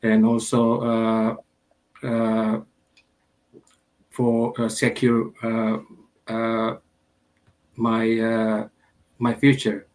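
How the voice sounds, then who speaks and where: A man's voice: on the low side, monotone, very slow, pauses often, a little mellow. A man answering as a guest on an online panel, hesitant with many fillers and restarts. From Indonesia.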